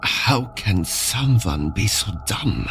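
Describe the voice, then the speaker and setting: An actor playing a blunt, indignant army officer in a sci-fi audio drama, terse and defiant. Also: male voice, on the low side, lively intonation, takes it slowly, a bit bright, faint music behind. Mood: exasperated. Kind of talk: scripted audio drama acting. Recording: noisy track with background ambience.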